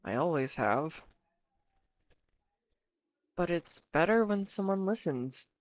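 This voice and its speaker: A woman playing an earnest, bashful, talkative girlfriend in an intimate audio roleplay, speaking straight to the listener in a halting, teasing manner. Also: on the low side, lively intonation, no room sound. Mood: shy, affectionate.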